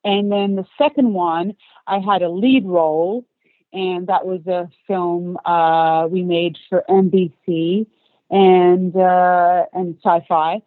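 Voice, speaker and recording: A woman's voice: on the low side, steady tone, slow pace, dry recording. Woman guest, forties, from Australia; talkative long answers; tinny phone-quality audio. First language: English. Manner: chatty, long run-on answers with light laughs. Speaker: actress guest. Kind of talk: podcast interview.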